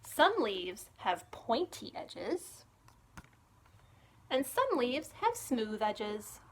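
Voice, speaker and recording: A woman, a children's librarian, reads a picture book aloud to young children in an expressive storytime manner, putting questions to the listeners. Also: fairly high pitch, extremely slow, a little hiss or hum.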